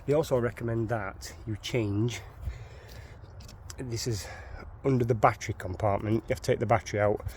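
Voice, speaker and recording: A man's voice: fairly low pitch, steady tone, moderate pace, a little hiss or hum. Chatty Sheffield man fixing his van. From Sheffield, England. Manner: chatty, rambling, repeats words. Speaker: DIY van owner. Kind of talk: casual how-to walkthrough.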